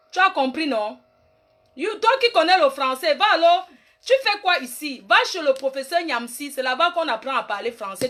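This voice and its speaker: A woman's voice: sits high, fairly bright tone. A woman playing a brash, boastful self-styled queen mother in a rambling comic monologue. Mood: scolding. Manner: bossy, rambling, mock-grand, trash-talking. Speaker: comic online personality. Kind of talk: comic live-stream monologue.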